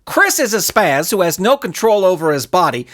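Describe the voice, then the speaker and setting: A man voicing an irate, bitter soccer coach in a story, ranting with loud, sarcastic exasperation. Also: sits high, big pitch swings, moderate pace. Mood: exasperated ranting. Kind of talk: dramatised audiobook reading.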